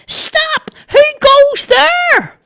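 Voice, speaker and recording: A man's voice: extremely high pitch, steady tone, a little slow, gravelly, voice pushed up, exaggerated voice. A man voicing a timid, eager young Irish boy in a storybook tale. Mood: shrill challenge.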